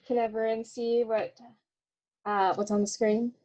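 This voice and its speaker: A woman's voice: fairly high pitch, little pitch movement, a little slow, dark tone. A young woman from Hawaii presenting as a graduate student in an informal style over an online call. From Hawaii, United States. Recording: dull, lo-fi online call audio.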